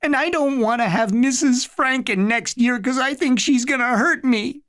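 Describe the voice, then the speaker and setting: A woman's voice: expressive, unhurried, a bit bright. A woman voicing a small, scared young boy who whines and sounds close to tears. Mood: whiny. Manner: whiny, tearful, high.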